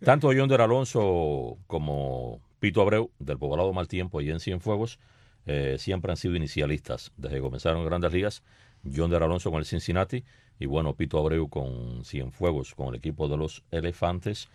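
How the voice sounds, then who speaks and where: Deep-voiced radio sports host. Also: male voice, animated, normal speed, dry recording, clean studio sound. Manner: effusive, warm greetings and shout-outs. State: slightly hoarse throat. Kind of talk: casual radio sports chat.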